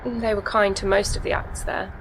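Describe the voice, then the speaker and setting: A woman's voice: mid-range voice, flat delivery, moderate pace, fairly bright tone, a little hiss or hum, close to an everyday voice. An actress in a radio play playing an idealistic, weary woman poet with a dry, guarded manner. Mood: guarded, sardonic. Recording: roomy echo with long reverb.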